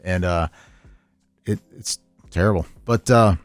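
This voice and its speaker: A middle-aged man chatting casually on a podcast, telling stories in a rambling, animated way with repeats and chuckles. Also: sits low, measured pace, no room sound. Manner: animated storyteller, chuckles often, repeats himself. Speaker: podcast host, veteran tabletop gamer.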